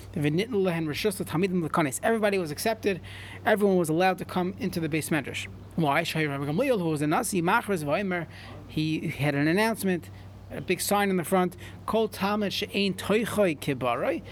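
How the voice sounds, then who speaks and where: Man with a high voice, talmud lesson, faint room ambience. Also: slight background noise. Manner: reads in chant then translates, frequent restarts. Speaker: Talmud teacher. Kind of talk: Talmud class, reading and translating.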